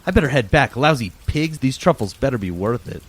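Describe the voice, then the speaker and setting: A man's voice: medium pitch, animated, fairly quick, slight background noise, natural voice. A man playing a put-upon, complaining farmer in an amateur comic radio play. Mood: grumbling. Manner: complaining, exasperated.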